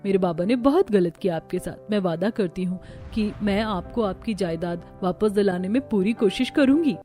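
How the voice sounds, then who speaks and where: A woman voicing a gentle, dutiful young sister in a cartoon story, earnest, polite and apologetic. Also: mid-range voice, normal speed, faint music behind, close to an everyday voice. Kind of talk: Hindi cartoon story acting.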